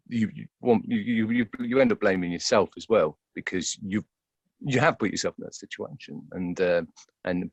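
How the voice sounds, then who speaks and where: A man's voice: low-pitched, no room sound. A man giving conversational interview answers as an actor, hesitant and often repeating words as he thinks aloud.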